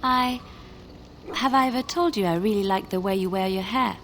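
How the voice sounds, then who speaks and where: An actress playing a gentle young woman companion in a video game, chatting in an earnest, affectionate and rambling way. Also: mid-range voice, normal speed, a little hiss or hum, close to an everyday voice. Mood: admiring, chatty. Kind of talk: video game dialogue acting.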